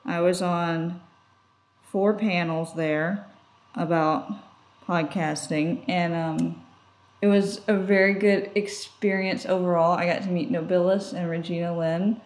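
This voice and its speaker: A woman's voice: fairly low pitch, steady tone, measured pace. A woman co-hosting a podcast, chatting casually and informally. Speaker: podcast co-host. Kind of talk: casual conversational podcast chat.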